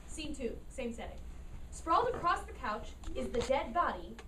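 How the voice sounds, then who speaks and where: A woman narrates stage directions in a high, very lively voice. The recording is distant, from an echoey room. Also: moderate pace, slight background noise. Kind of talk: scripted narration at a staged play reading.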